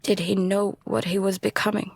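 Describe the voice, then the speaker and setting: A woman acting a frightened, exhausted young survivor adrift at sea in an audio drama, halting and husky. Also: mid-range voice, flat delivery, dry recording, lightly acted. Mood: hushed, drained dread.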